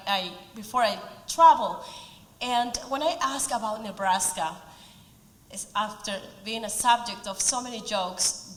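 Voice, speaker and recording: A woman's voice: on the high side, a bit bright. Peruvian woman speaking in echoing hall. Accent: Peruvian Spanish. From Peru. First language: Spanish. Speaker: speaker at a community event. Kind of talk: prepared speech. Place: event hall. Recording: roomy hall reverb.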